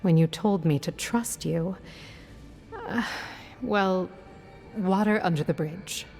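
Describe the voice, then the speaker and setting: A woman playing a guarded, wry, world-weary protector of her people in a video game, with a dry and measured delivery. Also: lowish voice, vocal fry, darkish tone, soft background music. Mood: wry, softening.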